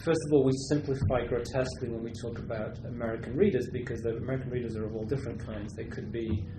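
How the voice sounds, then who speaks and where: A man from Britain, a literary translator, answering questions at a university seminar in a thoughtful, halting way with many restarts. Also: medium pitch, fairly even intonation, reverberant, noisy background.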